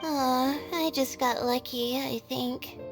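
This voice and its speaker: A drowsy, insecure young student girl in an anime game. The voice is high and unhurried, hesitant and modest, with faint music underneath. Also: fairly even intonation. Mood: bashful. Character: sleepy, timid, self-doubting young female student. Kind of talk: anime video game voice acting.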